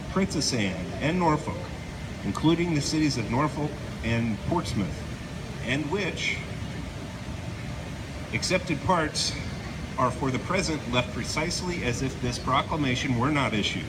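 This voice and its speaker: A man giving a formal read-aloud of an official proclamation. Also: mid-range voice. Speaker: reader at a celebration. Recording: noisy recording, steady ambient hum.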